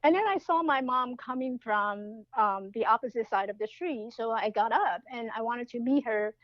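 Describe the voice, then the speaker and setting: A woman's voice: fairly high pitch, moderate pace, no echo, muffled recording. A woman, telling a personal story as a podcast guest in an earnest way, often restarting her phrases. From Taiwan. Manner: earnest, with frequent restarts and fillers.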